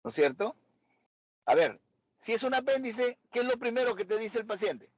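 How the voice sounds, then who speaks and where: A man's voice: extremely high pitch, animated, moderate pace, husky, no echo, dull audio. A man teaching a medical seminar, questioning and quizzing his students. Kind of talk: interactive medical lecture.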